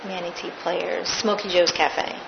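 A woman's voice: fairly low pitch, steady tone, unhurried, creaky, muffled recording, noisy background. A woman, a stage actress, recounting her theater career in a casual interview, laughing now and then.

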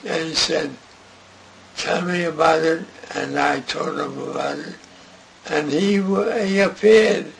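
An older man recalling his radio career in an interview, speaking haltingly and restarting often. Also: fairly high pitch, gravelly. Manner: slow, halting, with many restarts. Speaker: interviewee, radio writer and director. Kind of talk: reminiscing interview. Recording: noisy recording.

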